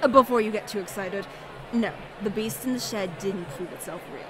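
A woman's voice: mid-range voice, moderate pace, natural voice. A woman voicing a pushy, sassy young female food vendor in a visual novel, with emphatic, theatrical delivery. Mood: flustered, casual. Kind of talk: acted visual novel dialogue. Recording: clearly noisy background ambience.

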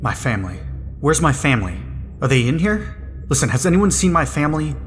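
A man's voice: a little high, very animated, fairly quick. A man playing a distraught family man among survivors in a sci-fi audio drama, pleading. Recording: long reverb, faint music behind.

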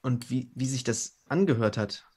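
A man of medium pitch improvises in character during a tabletop game. His delivery is questioning, with hesitant turns as he feels out his words. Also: moderate pace, darkish tone, slightly rough. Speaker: tabletop roleplaying player. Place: indoor home, video call.

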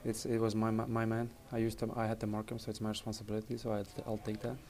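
A man in his thirties, a soccer team captain, answering reporters after a match in a halting, even-toned way that repeats words, with mics held close. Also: on the low side. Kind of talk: post-match press interview. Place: indoor press area in front of a sponsor backdrop. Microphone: reporters' handheld mics and phones held close. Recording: noisy crowded press area. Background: crowd chatter.

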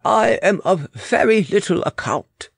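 A man's voice: high voice, big pitch swings, measured pace, creaky, slightly rough, dry recording, studio quality, voice pushed up, heavily acted. A man voicing a humble, devoted royal servant in a dramatic audiobook, earnest and deferential. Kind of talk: dramatic audiobook character reading.

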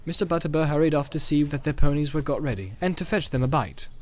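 A man's voice: fairly high pitch, lively intonation, fast, darkish tone. A man narrating an audiobook in a dramatic storytelling style.

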